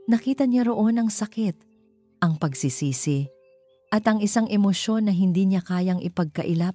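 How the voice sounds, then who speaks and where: A woman narrates a dramatic love story in a low-pitched voice. Her tone is soft-edged and mellow, and her delivery is measured and sentimental. Faint music plays behind her. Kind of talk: scripted dramatic romance narration. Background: background music.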